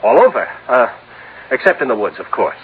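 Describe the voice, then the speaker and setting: An actor as an anxious, swooping radio-drama husband. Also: male voice, mid-range voice, sing-song, a little fast, phone-quality sound, natural voice. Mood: alarmed, fretful. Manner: agitated, hesitant, stammering. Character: anxious, defensive younger husband feigning worry over wife. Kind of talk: old-time radio drama acting. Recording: noisy, band-limited vintage radio.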